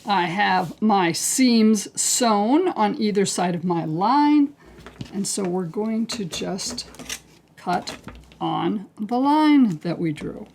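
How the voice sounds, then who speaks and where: A woman teaching a quilting technique step by step, calm and unhurried, clearing her throat as she is losing her voice. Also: mid-range voice, a little hiss or hum. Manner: calm, unhurried, talks through each step as she works. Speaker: quilting instructor. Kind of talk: step-by-step craft tutorial.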